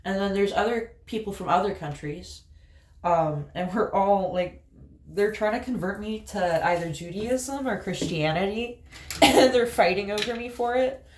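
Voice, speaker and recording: A woman's voice: mid-range voice, a little slow. Appalachian woman rambling on while doing her makeup, lots of ums, kinda echoey room. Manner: rambling storyteller with laughs and fillers. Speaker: vlogger.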